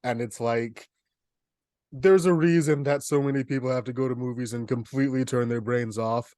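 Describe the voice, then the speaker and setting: A man with an average-pitched voice speaks as a podcast co-host. His delivery is casual and conversational. The sound is dry, with very little room around it. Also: fairly even intonation, moderate pace, darkish tone, dry recording. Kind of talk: casual movie chat.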